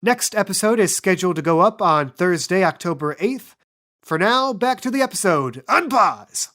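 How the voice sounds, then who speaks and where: A woman's voice: on the low side, lively intonation, normal speed, fairly bright tone. Woman, podcast host, game master, casual announcements, chatty delivery.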